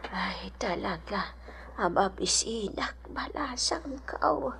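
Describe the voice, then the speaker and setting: Creaky, slow old Ilocano villager. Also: female voice, mid-range voice, lively intonation, noisy background. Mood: sorrowful, weak. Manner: slow, plaintive. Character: poor, sickly, grateful, lonely old village woman. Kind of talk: Filipino radio drama acting. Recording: radio drama with music underneath.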